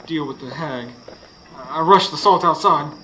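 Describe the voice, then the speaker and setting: An anxious but determined husband telling a supernatural radio tale. His voice sits fairly high, folksy and urgent, with clear noise and night insects behind it on a live stage recording. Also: unhurried, sharp tone, low-quality recording. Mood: tense, determined. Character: anxious, determined Southern husband narrating a ghost hunt. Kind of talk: live radio drama acting and first-person narration.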